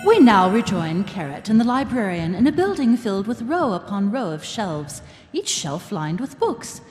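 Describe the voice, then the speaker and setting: A woman's voice: mid-range voice, very animated, moderate pace, a little mellow, lightly acted. A woman performing as a wry, knowing storytelling narrator in a scripted live radio comedy. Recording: reverberant live stage, faint music.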